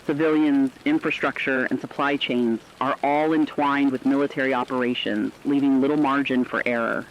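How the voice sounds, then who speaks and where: A man's voice: mid-range voice, dull audio, slight background noise, close to an everyday voice. A man playing a serious radio war analyst, giving scripted emergency news commentary in a formal, emphatic way.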